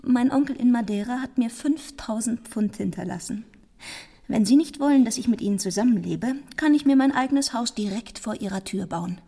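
A woman's voice: a little high, moderate pace, creaky, warm tone. An actress playing a devoted, independent young Englishwoman in a German radio play, speaking and narrating with literary, composed earnestness. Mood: tender, steady. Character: devoted, independent young Englishwoman telling her own story. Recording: studio radio play with music.

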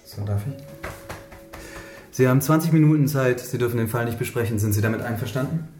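An actor plays an officious guard in a radio thriller. He rattles off rules quickly and politely. The room is reverberant, with faint music behind. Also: medium pitch, darkish tone, natural voice. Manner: brisk, formal, procedural. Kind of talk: radio crime drama acting.

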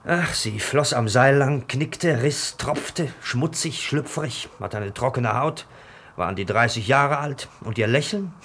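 An actor in a German radio play as an obsessed, restless dreamer, talking in theatrical fragments. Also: male voice, mid-range voice, a little slow, fairly bright tone, slightly rough. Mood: rapt, bitter. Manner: theatrical, fragmented, brooding delivery. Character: obsessed, restless dreamer retelling a circus story.

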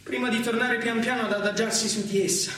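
A man narrating a dramatic stage monologue in a declamatory, measured way with theatrical pauses. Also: very high voice, steady tone, a bit bright, slight background noise. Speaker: solo stage actor narrating. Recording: very echoey theatre recording, distant, with music. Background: music.

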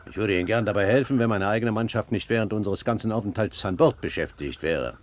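An actor in a German radio play voicing a suave, calculating pirate captain, polished, measured and coolly authoritative. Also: male voice, on the low side, lightly acted. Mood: smooth, persuasive.

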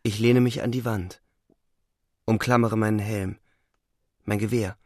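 An actor playing a weary, haunted young soldier, narrating a radio play in brooding, restrained short sentences. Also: male voice, lowish voice, fairly even intonation, unhurried, no echo, clean studio sound, natural voice. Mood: subdued, overwhelmed. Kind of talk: literary radio play narration, inner monologue.

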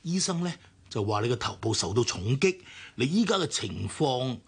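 A kindly older uncle in a Hong Kong radio play. His Cantonese is gravelly and rough-edged, at a medium pitch for a man. He fusses, soothes and insists like a worried father figure. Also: big pitch swings, normal speed, exaggerated voice. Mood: fussing, kindly. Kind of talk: Cantonese radio drama acting.

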